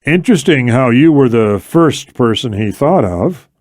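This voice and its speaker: A man's voice: medium pitch, animated, measured pace, darkish tone, dry recording, clean studio sound, lightly acted. A man playing a skeptical, bitter, protective male operative in an audiobook, with an urgent, pleading manner. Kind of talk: audiobook character dialogue.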